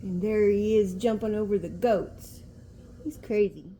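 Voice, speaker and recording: An older woman with a mellow, soft-edged voice reads a picture book aloud to a grandchild. She is homely and chatty, at a middling pitch, with faint noise in the background. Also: fairly even intonation, unhurried, low-quality recording. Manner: homely, chatty, pointing things out. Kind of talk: storybook read aloud with asides to a child.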